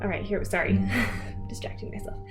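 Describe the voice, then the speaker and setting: A woman's voice: medium pitch, steady tone, moderate pace, loud music behind the voice. A woman host doing a semi-dramatic read-aloud of a story, wry and informal, breaking off for casual asides.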